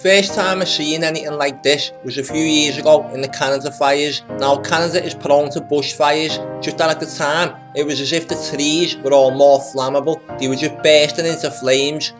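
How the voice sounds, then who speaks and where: Male video narrator, slightly high voice, conspiracy commentary with guitar music clearly behind. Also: steady tone, moderate pace, few pauses.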